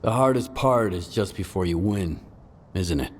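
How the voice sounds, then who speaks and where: An actor playing a steadfast, quietly sure male wanderer in a video game, terse and assured. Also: low voice, moderate pace, a little hiss or hum, natural voice. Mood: resolute. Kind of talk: video game cutscene acting.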